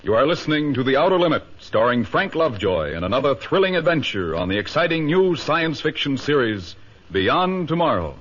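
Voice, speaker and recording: A man announcing a 1950s radio show in a dramatic, drawn-out way. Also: medium pitch, sing-song, a bit bright. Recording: dull old radio recording.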